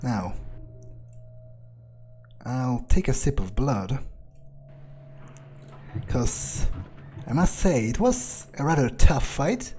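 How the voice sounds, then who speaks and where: A man's voice: mid-range voice, dark tone. A man doing in-character game commentary, thinking aloud in a theatrical, self-assured, musing way. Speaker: gamer playing in character.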